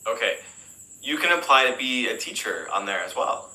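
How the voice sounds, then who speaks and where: Bright-voiced American man, rambling casually. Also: medium pitch, moderate pace, echoey room, rough recording. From United States. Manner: relaxed, rambling, frequent fillers and restarts. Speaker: English teacher. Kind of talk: casual interview answers.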